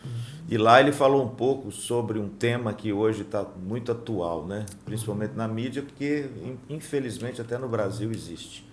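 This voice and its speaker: A man's voice: fairly low pitch, moderate pace, a little hiss or hum. A Brazilian man, a pastor, hosting a casual interview and asking questions. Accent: Brazilian Portuguese. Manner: brief supportive interjections, asks questions. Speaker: pastor, host.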